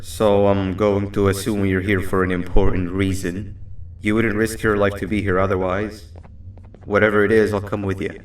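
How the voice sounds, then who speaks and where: A steady, wary game companion, acted by a man with a low voice. He sounds set back in a roomy space with faint noise behind. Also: recorded from a distance, natural voice. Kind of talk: video game voice acting.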